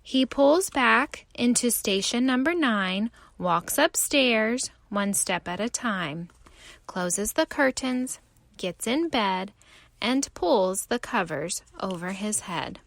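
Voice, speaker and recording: A woman reading a story aloud for young children in an expressive, theatrical way. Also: medium pitch, takes it slowly, gravelly, dry recording. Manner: animated, theatrical delivery.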